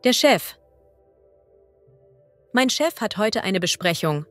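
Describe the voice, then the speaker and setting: Woman reading vocabulary very slowly. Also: medium pitch, sing-song, a bit bright, no room sound, soft background music. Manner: slow, clear and deliberate. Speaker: language teacher. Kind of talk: reading vocabulary and idioms aloud.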